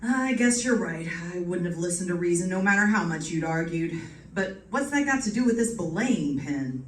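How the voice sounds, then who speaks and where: A performer playing a blunt, slow-witted police sergeant in a live radio play, puzzled and plain-spoken. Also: mid-range voice, animated, lightly acted. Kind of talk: live old-time radio drama acting. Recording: echoey stage, distant mic, background noise.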